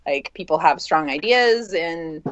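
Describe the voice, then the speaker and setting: A woman's voice: deep voice, animated, measured pace, no echo, dull audio. A woman who plays in a band, talking informally and a bit hesitantly in a group interview. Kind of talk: casual group interview chat.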